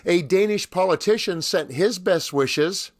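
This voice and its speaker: A man with a slightly rough voice hosting scripted political commentary in a sarcastic, mocking manner. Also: sits high, unhurried, no room sound. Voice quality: somewhat rough voice, as the speaker says. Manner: wry, mocking. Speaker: commentary host.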